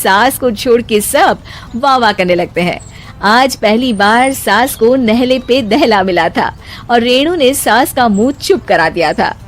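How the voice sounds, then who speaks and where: Woman, storyteller, scripted cartoon narration, slightly high pitch, light background noise. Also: expressive, measured pace.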